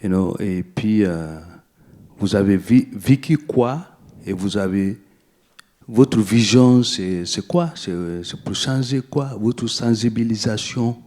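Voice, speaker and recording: A man in the audience, a native English speaker with an English accent, asking a question in halting, careful French. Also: lowish voice, warm tone. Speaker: audience member. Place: library event room.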